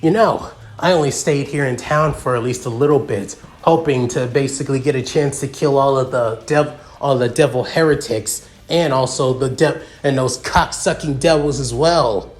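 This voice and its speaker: A man's voice: medium pitch, moderate pace, few pauses, natural voice. A man voicing a vicious, foul-mouthed rogue exorcist who taunts and swears in an agitated way, in a story reading. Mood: gloating, hostile.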